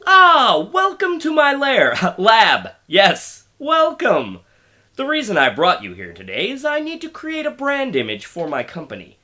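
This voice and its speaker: A man's voice: very high-pitched, huge pitch swings, a little slow, pushed and bright, heavily acted. A man playing a pompous, petulant mad scientist supervillain in a comic radio play, theatrical and easily exasperated. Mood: awkwardly welcoming.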